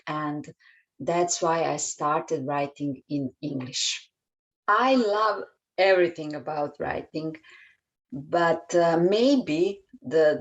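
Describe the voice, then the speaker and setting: Serbian accent lady, kinda low voice, slow and halting with lots of uhs. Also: lo-fi sound. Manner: hesitant, with frequent filler sounds. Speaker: children's book author guest. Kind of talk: interview answers.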